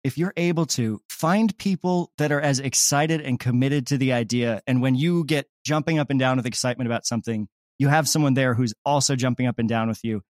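An enthusiastic man talks casually in an interview. His voice is medium in pitch and recorded very dry, with emphatic phrasing. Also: brisk, no echo. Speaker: audio comedy creator, interviewee.

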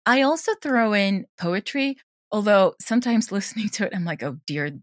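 A woman's voice: medium pitch, expressive, normal speed, no room sound, studio quality. A woman speaking as a writer and interview guest, explaining things in a thoughtful, conversational way. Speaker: audio drama writer and sound designer, interviewed guest.

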